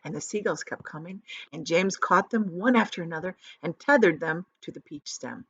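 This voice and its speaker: A woman's voice: lowish voice, moderate pace, fairly bright tone, breathy edge, no echo. A woman narrating a children's story dramatically, like a teacher reading aloud, in a slightly muffled room recording. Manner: dramatic, explains words to young listeners.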